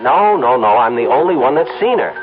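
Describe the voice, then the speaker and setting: A man's voice: medium pitch, big pitch swings, a little fast. A tense, obsessive ad man. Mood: brisk. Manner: tense, clipped and evasive. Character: strained, obsessive male advertising man. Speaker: lead character in a radio play. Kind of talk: 1970s radio drama acting. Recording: tinny, music-backed old radio broadcast.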